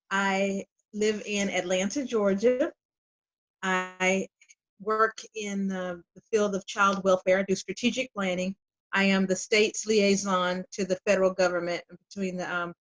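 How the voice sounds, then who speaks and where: Measured woman, mid pitch, muffled video call sound. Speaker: child welfare planner, panelist. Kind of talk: online forum introduction.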